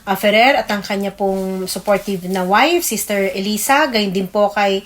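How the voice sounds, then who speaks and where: A Filipino woman co-hosting a religious radio program, giving prayers and greetings politely and pausing as she recalls names. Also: medium pitch.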